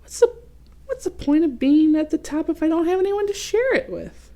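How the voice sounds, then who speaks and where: A woman's voice: very high-pitched, sing-song, moderate pace, mellow, voice pushed up, full character voice. A woman voicing a small male Christmas ornament in a storybook, emotional and earnest, with a dramatic, plaintive delivery. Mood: lonely, plaintive.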